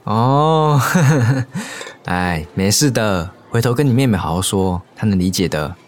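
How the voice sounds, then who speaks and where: A man's voice: lowish voice, expressive, unhurried. An actor playing a playful, teasing, easily flustered young male coworker in a Mandarin audio romance drama. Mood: friendly.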